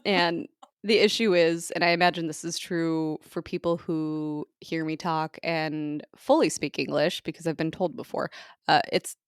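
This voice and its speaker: A chatty woman co-hosts a podcast in a relaxed, laughing manner. Her voice sits a little low. The sound is close and dry, with no room around it. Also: a little slow, clean tone, dry recording. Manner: chatty, laughs often, trails off mid-thought. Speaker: podcast co-host. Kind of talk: casual chat. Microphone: podcast microphone.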